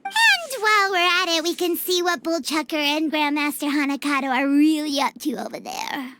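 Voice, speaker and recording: A woman voicing a small, excitable, food-loving fairy companion in an anime game, exclaiming like a child. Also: sits high, moderate pace, slight background noise. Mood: excited, eager. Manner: refers to self in third person, exclaiming.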